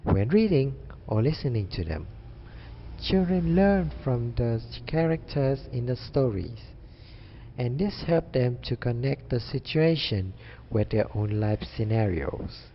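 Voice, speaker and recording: A man's voice: medium pitch, expressive, measured pace. A young man delivering a scripted student presentation. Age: young adult. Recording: dull audio with faint background noise.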